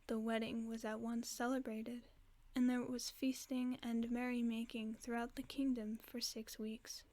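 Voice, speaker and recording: A woman voicing a gentle, caring werewolf friend, reading a bedtime story in a soft, often whispered voice for a sleep-aid roleplay. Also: fairly high pitch, little pitch movement, lightly acted. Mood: soothing. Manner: slow soothing bedtime reading.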